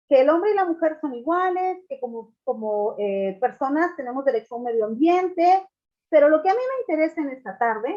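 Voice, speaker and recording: A Mexican woman with a high-pitched voice gives a university law lecture. She explains in a steady teaching manner and poses rhetorical questions to her students. The sound is dull and lo-fi, like a video call. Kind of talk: online class lecture.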